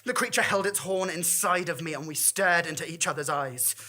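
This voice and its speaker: A woman's voice: lowish voice, a little fast, pushed and bright. A woman performing a dramatic first-person story monologue with intense, theatrical delivery. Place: small theatre stage.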